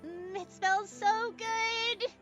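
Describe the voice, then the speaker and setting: A woman's voice: extremely high pitch, steady tone, extremely slow, music in the background, heavily acted. A woman playing a bubbly, food-loving young woman in an audio drama, exuberant and emphatic. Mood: excited, sassy. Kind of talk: fan audio drama acting.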